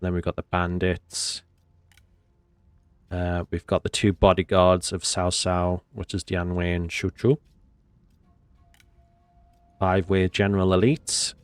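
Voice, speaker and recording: A man's voice: deep voice, little pitch movement, measured pace, pauses often, clear, resonant tone, dry recording. A man with a cold and a nasal voice, giving casual gameplay commentary in a rambling, hesitant way. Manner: rambling, hesitant with many fillers. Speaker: game commentator.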